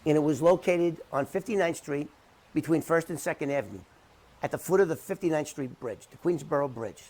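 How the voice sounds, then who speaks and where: A middle-aged man talking unscripted to the camera in an emphatic, repetitive, halting way as he rebuts claims. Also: on the high side, darkish tone, low-quality recording. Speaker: interview commentator debunking someone's claims.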